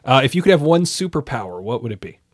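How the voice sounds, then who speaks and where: A man's voice: mid-range voice, sing-song, quick pace, husky, dry recording. Wry male podcast host, dry close sound. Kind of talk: casual quick-fire interviewing.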